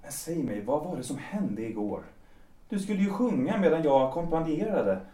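Imagine a young man voicing an evasive lover who hedges and speaks softly, with a Swedish accent. His voice is warm and dark, and it sits back from the mic in a roomy space. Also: a little high, sing-song, far from the mic. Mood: hesitant. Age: young adult. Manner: hesitant, soft, evasive. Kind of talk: Swedish audio drama acting.

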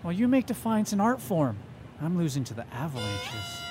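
Wry, stressed young man, very animated. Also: high-pitched, moderate pace, soft background music. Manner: wry, self-deprecating. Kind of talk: audio drama acting.

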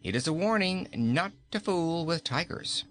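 A man's voice: on the high side, lively intonation, unhurried, fairly bright tone, slight background noise, voice pushed up. A man reading a storybook aloud, voicing a fat, timid king in a panicky, pleading way. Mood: sly. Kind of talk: audiobook character voice.

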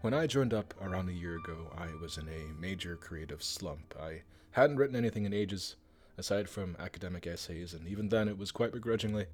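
A man's voice: deep voice, animated, brisk, a little mellow, soft background music. A man giving a scripted personal testimonial as an editor. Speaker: publishing editor.

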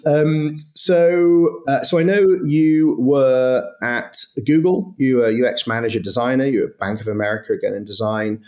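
A man's voice: mid-range voice, fairly even intonation, normal speed, hardly any pauses, darkish tone. A man from Manchester hosting a podcast interview, asking long rambling questions. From Manchester, England. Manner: conversational, hesitant questions with many fillers. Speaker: podcast host.

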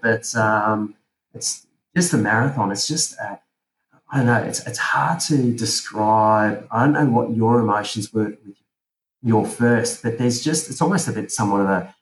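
A man from South Africa, a podcast guest talking casually and hesitantly, with many fillers and restarts. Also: medium pitch. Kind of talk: casual interview chat. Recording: roomy with faint ambient noise.

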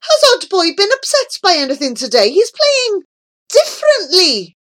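Storybook old grandfather, played by a woman: alarmed, pleading, agitated, pitched very high and swooping. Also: wildly swooping pitch, moderate pace, a bit bright, heavily acted. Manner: agitated exclamations and anxious questions. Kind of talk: dramatised storybook reading.

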